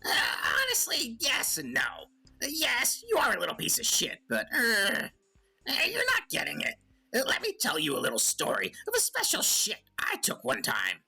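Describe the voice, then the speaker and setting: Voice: mid-range voice, wildly swooping pitch, normal speed, creaky, pushed and bright, slightly rough, full character voice. An actor voicing a sly, crude male goblin who hosts a comedic radio call-in show, smirking and rambling. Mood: smug, teasing. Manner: smirking, self-justifying, rambling with drawn hesitations. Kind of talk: comedic in-character monologue and banter.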